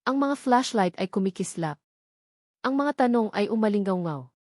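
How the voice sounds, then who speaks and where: A woman's voice: mid-range voice, expressive, normal speed, darkish tone, dry recording, clean studio sound. A woman with a Filipino accent reading a dramatic first-person story as a narrator.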